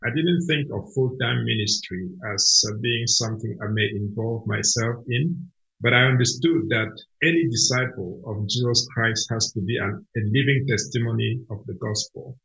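A man's voice: mid-range voice, moderate pace, vocal fry, a bit bright. A man from Cameroon, a mission organization director, earnestly answering questions in an online interview with frequent restarts. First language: a Cameroonian language. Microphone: video call. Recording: dull lo-fi online call.

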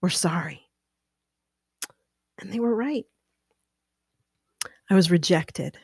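A middle-aged woman with a lower, mezzo-alto voice tells a personal story on her podcast. She is animated and expressive, talking with her hands. The sound is dry and close to the microphone. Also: slow, vocal fry, breathy edge, dry recording. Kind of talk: casual storytelling.

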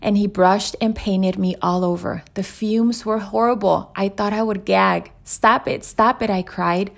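Storybook teacup played by a woman: plaintive, dramatic, creaky, recounting its ordeals in a parable. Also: medium pitch, fairly even intonation, measured pace. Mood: protesting. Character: talking teacup recounting its painful making, plaintive. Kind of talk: inspirational parable read aloud.

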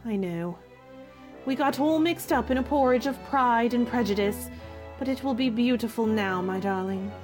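A woman playing an earnest, tender young gentlewoman in love, in a formal 1940s radio romance style. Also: a little high, moderate pace, lightly acted. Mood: tender, lovestruck. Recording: violin music under the voice.

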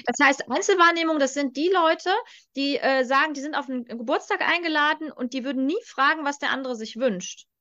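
A woman's voice: high-pitched, a little fast, pushed and bright, highs cut off. A woman explaining as a coach on a podcast, emphatic and direct, often adding short checking questions. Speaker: strengths coach, podcast guest.

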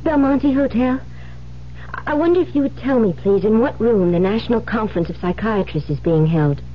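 An actress plays an anxious young American bride with a fairly high voice. She speaks haltingly, trying to hide her fear, in an old radio thriller. The recording is dull and a little noisy. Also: close to an everyday voice. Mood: anxious, polite. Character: frightened young bride hiding her growing terror. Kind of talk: radio drama acting.

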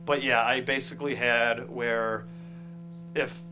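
A man speaking as a guest screenwriter in a conversational interview, informal and halting as he thinks aloud. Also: medium pitch, steady tone, noisy background.

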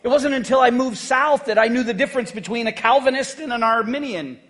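Male pastor, high-pitched, chatty joking sermon delivery. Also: a little fast, a bit bright. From United States. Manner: conversational, joking, asks the congregation "right?".

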